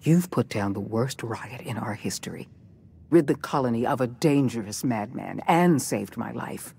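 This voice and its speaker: An actor playing a self-important corporate board leader in a video game, speaking with formal, grave authority. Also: male voice, medium pitch, lively intonation, normal speed, gravelly, darkish tone, husky, exaggerated voice. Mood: relieved, haughty. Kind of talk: dramatic video game acting.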